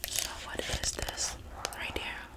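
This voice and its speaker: A woman whispering softly in an ASMR roleplay as a friendly, gossipy schoolgirl classmate. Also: takes it slowly. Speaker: ASMR performer.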